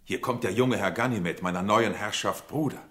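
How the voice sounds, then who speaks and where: A man's voice: on the low side, moderate pace, a bit bright, lightly acted. An actor playing a flustered male stage player in a shepherd role, theatrical and projecting, on an echoing stage in a radio drama. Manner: theatrical, projecting, increasingly urgent.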